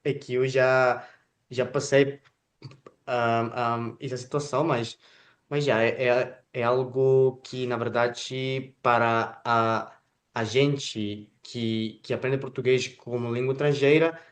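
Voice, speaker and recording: A man, Spanish his first language, hosts an interview in Portuguese at a middling pitch. He gropes for words, filling gaps with drawn-out filler sounds and restarting phrases as he goes. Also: steady tone. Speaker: interview host.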